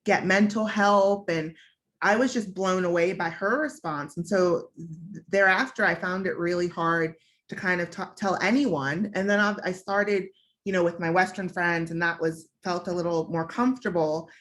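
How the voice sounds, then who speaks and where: A woman's voice: lowish voice, steady tone, normal speed, fairly bright tone. A young woman from the United States telling a personal story on a panel, in a candid, reflective way with frequent fillers.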